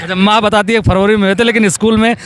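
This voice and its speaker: A man's voice: high voice, fairly even intonation, fast, a bit bright. A man working as a reporter, putting questions in a field interview.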